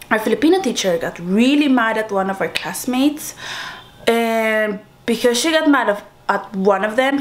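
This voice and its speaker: A young woman raised in Sweden chatting casually to her viewers, laughing often and filling her story with likes and restarts. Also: mid-range voice. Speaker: vlogger. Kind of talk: casual storytelling mukbang vlog. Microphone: camera-mounted shotgun mic.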